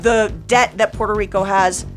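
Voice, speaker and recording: A woman's voice: mid-range voice, fairly even intonation, moderate pace, soft background music. A young woman with a Venezuelan accent hosting a comedic rant, joking and sarcastic with lots of "like". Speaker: comedy web show host.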